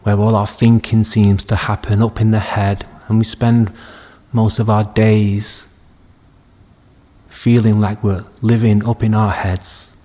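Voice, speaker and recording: A man leading a guided meditation as a teacher, speaking gently and soothingly with long unhurried pauses. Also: lowish voice, level tone. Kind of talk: guided body scan meditation.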